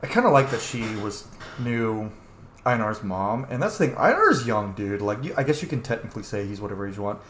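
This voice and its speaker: A man's voice: on the low side, big pitch swings, brisk, a bit bright, reverberant, slight background noise. A man giving casual, musing commentary as he plays and role-plays through a video game. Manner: informal, musing.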